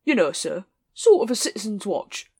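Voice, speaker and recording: Woman playing an anxious sergeant, hesitant, pleading, middling pitch, dry. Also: very animated, normal speed, darkish tone, breathy edge, no echo. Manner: hesitant and pleading, with ums and uhs.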